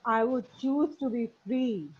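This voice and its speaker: A woman's voice: fairly high pitch, unhurried, a little mellow, breathy edge. A woman sharing her thoughts in a casual poetry discussion, over slightly dull online call audio. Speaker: reading group participant.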